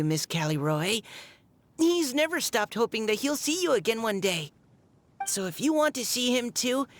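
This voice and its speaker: A young, heartfelt girl's voice with very animated intonation. She is a kindhearted game character, eager and earnest, and a little hesitant when she gets carried away. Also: medium pitch. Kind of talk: video game cutscene acting.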